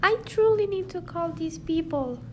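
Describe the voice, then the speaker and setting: A woman playing a frightened farmer lady in an amateur radio drama, speaking slowly and deliberately. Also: very high voice, expressive. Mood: anxious pleading. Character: worried farmer lady frightened for her killed goats. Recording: noisy amateur home recording.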